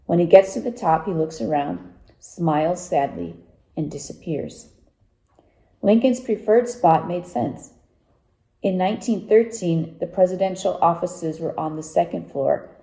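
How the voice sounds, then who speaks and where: A woman reads a true ghost story aloud as an author presenting to young readers. Her voice is low and very dark and soft-edged, with plenty of creak. The recording has a clear roomy echo.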